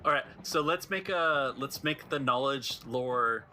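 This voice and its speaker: A man's voice: mid-range voice, a little slow, pushed and bright. A man working as game master, casually narrating a tabletop role-playing session.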